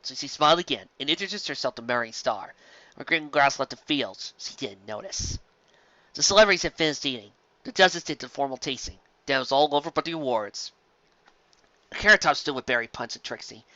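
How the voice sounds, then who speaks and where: A reader telling a fan-fiction story aloud with lively delivery and frequent restarts, in a dull lo-fi recording. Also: mid-range voice, fairly quick, no room sound.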